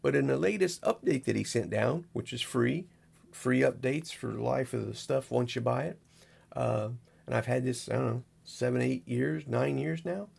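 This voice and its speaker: A man with a very gravelly, creaky voice and a husky edge hosts a casual screen walkthrough. He rambles in a folksy, unscripted way, talking through each step as he does it. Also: medium pitch, moderate pace, darkish tone. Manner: folksy, rambling, narrates each click as he goes. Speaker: amateur radio operator, video host.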